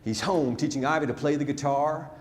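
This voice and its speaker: A man recites rhyming narrative verse on stage as a storyteller, dramatic and deliberate, swelling and then hushing his phrases. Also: fairly high pitch. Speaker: storyteller performing a narrative poem. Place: large theatre, live stage performance. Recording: live theatre stage, long reverb, noisy.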